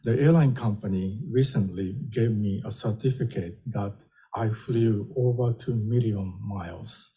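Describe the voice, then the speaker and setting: An older professor from Japan, giving a public lecture in a slow, gentle, wry way with small hesitations. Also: male voice, lowish voice, breathy edge, muffled recording.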